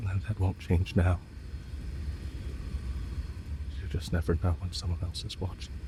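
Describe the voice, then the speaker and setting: A man playing a lovestruck villain in an intimate audio roleplay, speaking with earnest, halting hesitation and yearning. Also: low voice, noisy background, close to an everyday voice. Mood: quiet, devoted. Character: lovestruck male villain confessing to his enemy, yearning.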